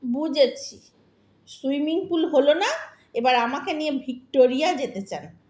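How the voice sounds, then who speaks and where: An actress playing a sharp-tongued, defiant young woman in an audio drama, sarcastic, bossy and impatient in a theatrical way. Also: high-pitched, animated, a little slow. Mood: teasing, accusing. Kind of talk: Bengali audio drama acting. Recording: roomy, echoing voice recording.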